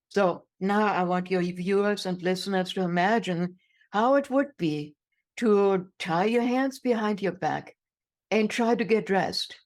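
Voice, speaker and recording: Female interview guest, 60s, German-accented English, emphatic storyteller who leans on repetition. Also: medium pitch. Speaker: guest, inspirational speaker.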